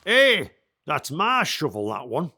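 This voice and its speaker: A man's voice: on the high side, wildly swooping pitch, takes it slowly, pushed and bright, slightly rough, heavily acted. A man playing a proud old navvy.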